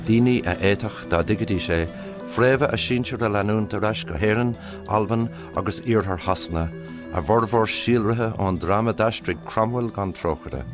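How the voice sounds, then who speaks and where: Low-voiced Irish man narrating a documentary. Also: normal speed. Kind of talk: scripted narration. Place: voice-over studio. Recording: voice-over with loud music bed.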